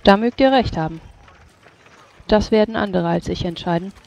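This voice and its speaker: A game master narrating and speaking in a formal, measured way as a stern official in a tabletop role-playing game. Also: medium pitch, vocal fry, a little mellow, lo-fi sound. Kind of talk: tabletop role-play narration and in-character dialogue.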